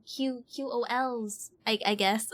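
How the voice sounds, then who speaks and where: Playful, fairly high-voiced woman streamer. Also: takes it slowly. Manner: playful, expressive, exclamatory. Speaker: live streamer. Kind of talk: casual chat while streaming a game. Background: game music.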